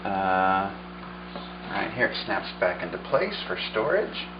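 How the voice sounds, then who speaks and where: A man with a low, easygoing voice walks through an old radio at a relaxed pace, pausing on fillers as he thinks. The sound is a little dull, with a steady hiss audible behind him. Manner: unhurried, thinking aloud with fillers. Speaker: vlogger showing a vintage radio. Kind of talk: casual show-and-tell demonstration.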